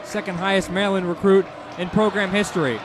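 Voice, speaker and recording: An American man doing animated, excitable live radio play-by-play of a basketball game from an arena. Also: sits high, a little fast. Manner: animated, excitable sportscaster delivery. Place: indoor basketball arena broadcast position. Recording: loud arena crowd noise behind voice.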